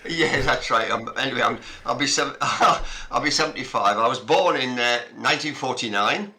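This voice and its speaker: A man, recalling his childhood in an unhurried, reflective way, pausing often with ums and uhs. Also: medium pitch, sharp tone. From Scotland. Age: 70s. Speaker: interviewee. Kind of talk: reminiscing conversation.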